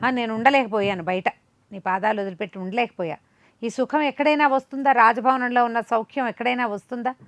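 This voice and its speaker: A scheming young maidservant, played by a woman storyteller, pleads in a fairly high voice. She is plaintive and wheedling, feigning helplessness in a folk tale. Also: brisk, dry recording, natural voice. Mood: pleading.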